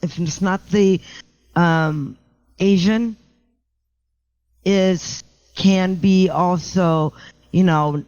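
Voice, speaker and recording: A middle-aged woman with a low voice talks with an easy, self-mocking humor, circling back and restarting her thoughts. The sound is dry and a little dull, with no room around it. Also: fairly even intonation, drawn-out words, pauses often, a little mellow, no echo. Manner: chatty and self-joking, with many restarts. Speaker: guest, house painter. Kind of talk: casual podcast interview.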